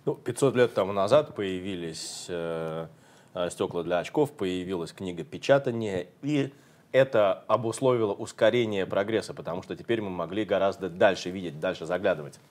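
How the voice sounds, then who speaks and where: A man's voice: sits low, moderate pace, a little mellow. A man interpreting an interview consecutively, translating steadily with occasional hesitations.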